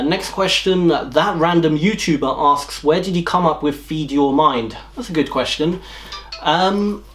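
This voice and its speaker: A man from near London with an English accent, answering viewer questions casually to camera, full of ums and restarts, with a slight slur from a jaw impediment. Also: mid-range voice. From England, north of London. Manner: rambling, lots of ums and restarts. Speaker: YouTube channel host.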